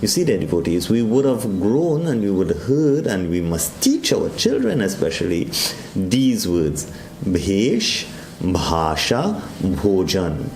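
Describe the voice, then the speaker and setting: A Trinidadian man gives a devotional talk on a morning broadcast, preaching to his listeners. His voice sits low and mellow, with sudden high swoops in pitch, and the sound rings in an echoey room. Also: big pitch swings, slow, slight background noise. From Trinidad and Tobago.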